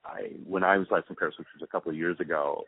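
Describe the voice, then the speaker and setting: Through a narrow, dry phone line comes an older man's low, husky voice. He chats in an easygoing, halting way, like a guest in a casual interview. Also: fairly even intonation. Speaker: interview guest, audio post-production supervisor. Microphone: phone or call line.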